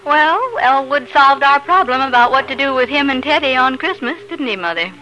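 An actress playing a frank, puzzled grown daughter in a 1950s radio drama, on a vintage recording. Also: female voice, high voice, animated, moderate pace, sharp tone, faint music behind, low-quality recording.

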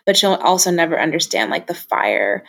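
A woman's voice: fairly low pitch, big pitch swings, moderate pace, creaky. A young woman telling personal stories as an interview guest in a casual, candid and reflective way.

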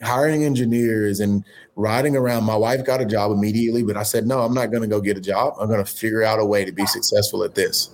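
A man in his late thirties, a guest in an interview, telling his story in an energetic, motivational way with bursts of emphasis. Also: fairly low pitch, fast. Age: late 30s. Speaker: guest, entrepreneur.

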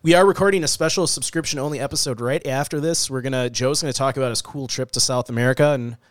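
A man's voice: medium pitch, fast talker, dry recording, studio quality. Slightly nasal, chatty podcast co-host man. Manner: relaxed, chatty, frequent "uh" and "um". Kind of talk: casual podcast chat.

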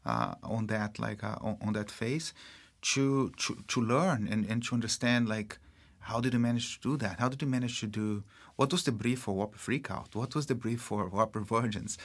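A man from Brazil with a Brazilian accent, a marketing executive speaking as an interview guest in a halting way, full of fillers and restarts. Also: on the low side. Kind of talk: casual interview talk.

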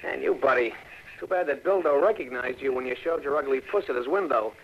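A man's voice: medium pitch, a little fast, close to an everyday voice. An actor playing a cocky, menacing gangster with tough-guy slang and a taunting manner in a 1960s radio drama. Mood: gloating menace. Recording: dull, noisy old radio recording.

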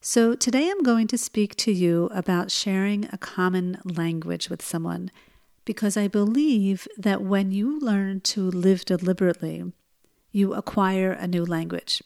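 A woman hosting her own podcast, speaking in a gentle, earnest way and telling personal stories. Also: mid-range voice, a little slow, gravelly. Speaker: podcast host. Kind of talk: solo podcast talk.

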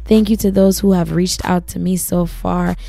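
A young woman in her twenties hosting a solo podcast, husky and raspy from losing her voice, chatting casually and giving advice. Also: on the low side, steady tone, moderate pace, dark tone, no echo. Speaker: podcast host.

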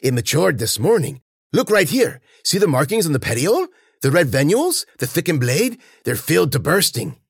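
A man's voice: fairly high pitch, big pitch swings, normal speed, creaky, slightly rough, dry recording, clean studio sound, voice pushed up, full character voice. A man voicing a servile, anxious helper in a storybook, eager and fawning, repeating himself. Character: servile, anxious male plant-breeding helper of old mistress.

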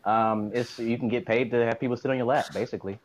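A man's voice: mid-range voice, steady tone, fast talker. A man co-hosting a casual comedy chat, teasing and provoking with dry jokes. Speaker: interviewer, co-host. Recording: dull lo-fi sound, faint noise.

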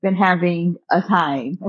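A woman's voice: fairly low pitch, slow, slightly rough, no echo, highs cut off. A young adult married woman co-hosting a podcast, chatting easily and saying "you know" a lot. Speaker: podcast co-host. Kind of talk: casual podcast chat.

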